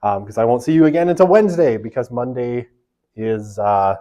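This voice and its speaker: A man's voice: mid-range voice, fairly quick. A man teaching a university sociology lecture in a classroom through a room microphone, casual and chatty, with a big voice, lots of fillers and tag questions. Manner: self-described big, loud voice. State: half asleep from early rising. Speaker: sociology instructor.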